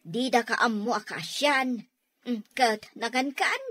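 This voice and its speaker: A woman's voice: fairly high pitch, measured pace, a bit bright, no echo, studio quality. A woman playing a kindly, protective aunt in an Ilocano radio drama, speaking expressively with an Ilocano accent. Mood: concerned.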